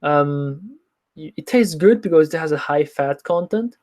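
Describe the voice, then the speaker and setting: A man's voice: a little high, animated, unhurried, a little mellow. A young man who is not a native English speaker, casually answering viewer questions as host of a livestream Q&A in an informal way. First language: not English, speaks French as a second language. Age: young adult. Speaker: nutrition coach, livestream host.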